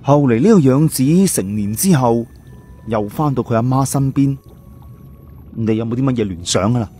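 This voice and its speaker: Audiobook hero, acted by a male narrator: young man, analytical, short-tempered, insistent, soft-edged mid-pitched voice. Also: lively intonation, normal speed, lightly acted. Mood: insistent, reasoning. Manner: emphatic, explanatory, colloquial. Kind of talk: audiobook character dialogue.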